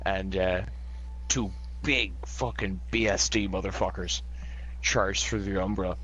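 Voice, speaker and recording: A man's voice: deep voice, unhurried, slight background noise, low-quality recording. A man playing a tabletop game, rambling through his character's deeds with lots of swearing. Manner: excited, profane, rambling. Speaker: player.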